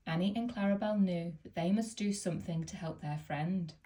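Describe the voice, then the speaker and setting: A woman reading a children's picture book aloud in a gentle, expressive storytelling manner. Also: on the low side, moderate pace.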